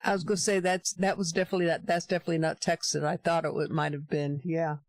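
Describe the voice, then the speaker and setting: A man co-hosting a live chat show in an easygoing way, with quick agreeing interjections. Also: fairly high pitch, flat delivery, dry recording. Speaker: co-host. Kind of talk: casual talk show chat.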